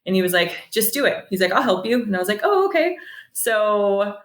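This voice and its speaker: A woman's voice: medium pitch, a little fast, reverberant. An American woman in her thirties chatting casually as a podcast guest, telling stories and acting out bits of dialogue. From San Jose, California, United States. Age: 30s. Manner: chatty, animated quoting of dialogue, laughs often. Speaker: podcast guest, bakery owner. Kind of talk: casual conversational storytelling.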